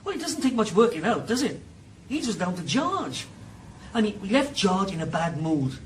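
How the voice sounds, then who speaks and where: A man's voice: high-pitched, sing-song, normal speed, slight background noise. A man, playing a blunt, skeptical sidekick in a radio drama.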